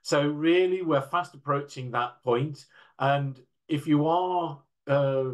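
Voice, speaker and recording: An older man giving a measured, explanatory slide presentation as a panel speaker on an indoor video call. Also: fairly high pitch. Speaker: panel speaker from a standards council.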